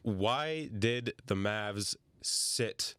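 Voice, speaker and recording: A man hosting a podcast, chatting casually and relaxed with his guest. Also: fairly low pitch, drawn-out words, clear, resonant tone, dry recording. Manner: relaxed, brief affirmations. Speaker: podcast host. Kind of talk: casual interview chat.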